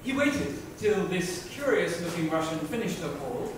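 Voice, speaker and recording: A man's voice: high voice, very animated, moderate pace. A middle-aged man reading a book aloud as dramatic scripted narration. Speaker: reader. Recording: echoey room, distant, noisy.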